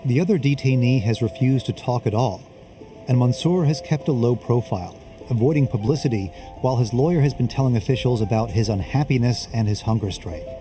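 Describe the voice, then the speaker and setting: A man's voice: mid-range voice, fairly quick. A man working as a reporter, reading scripted narration for a documentary. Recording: music under the voice. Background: background music.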